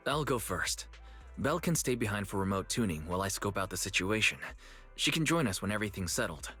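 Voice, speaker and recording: A man's voice: fairly low pitch, lively intonation, brisk, sharp tone, slightly rough, exaggerated voice. A man playing a confident, slightly cocky young male hero in a video game, protective and assured. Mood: decisive. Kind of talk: video game story dialogue. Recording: game music under the voice.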